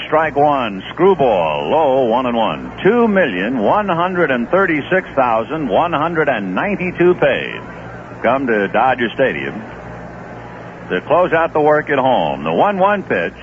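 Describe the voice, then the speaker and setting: A man calling a baseball game live on radio, with relaxed, conversational play-by-play and chatty asides. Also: medium pitch. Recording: dull old radio broadcast with noise.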